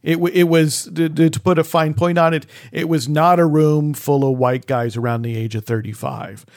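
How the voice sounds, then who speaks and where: A man's voice: a little high, fairly even intonation, normal speed, a little mellow. A middle-aged man co-hosting a podcast, chatting casually and searching a little for his words as he goes. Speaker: podcast co-host. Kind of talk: casual conversational discussion.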